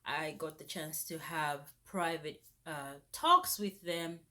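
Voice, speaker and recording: A young woman, vlogging in a casual, animated rant that turns indignant. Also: low voice, takes it slowly. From Zambia. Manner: animated, indignant, rises sharply when exasperated. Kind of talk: casual ranting vlog.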